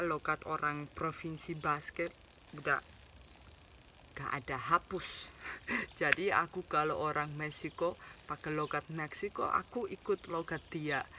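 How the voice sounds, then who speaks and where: Young woman vlogger, chatty, laughs a lot, very bright tone, faint hiss behind. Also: sits low. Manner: chatty, laughs often, mixes in English words. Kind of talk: casual talk to camera.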